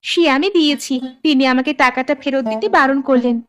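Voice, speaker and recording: A woman's voice: high voice, steady tone, a little fast, no room sound, natural voice. A woman voicing a dutiful, earnest young village daughter in a cartoon story. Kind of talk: animated story voice acting.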